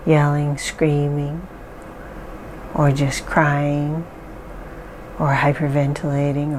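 A woman leading a guided mindfulness exercise, speaking calmly and softly with long pauses. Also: deep voice, slight background noise. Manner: slow, calm, soft-spoken guidance with pauses. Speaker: therapist guiding an exercise.

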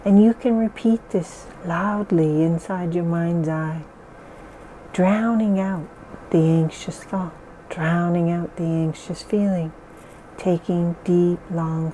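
A woman leading a guided relaxation in slow, soothing, repetitive phrases. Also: on the low side, warm tone, a little hiss or hum, poor audio. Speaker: meditation guide.

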